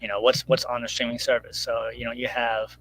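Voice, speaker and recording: A man's voice: mid-range voice, flat delivery, a little fast, a bit bright, slight background noise, low-quality recording. A man chatting casually as a guest about his own creative project, rambling informally and acting out little quotes as he goes. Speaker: guest, comic book creator promoting crowdfunding. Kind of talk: casual chat.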